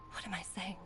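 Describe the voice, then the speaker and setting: A woman playing a weary, regretful healer and caring mother in a video game drama. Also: pushed and bright.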